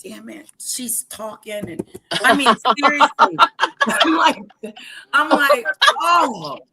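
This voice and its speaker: A woman with a raspy voice hosting a livestream, chatting frankly and casually with her guest. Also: high voice, lively intonation, takes it slowly, a crowd in the background, low-quality recording. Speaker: livestream host. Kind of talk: casual chat.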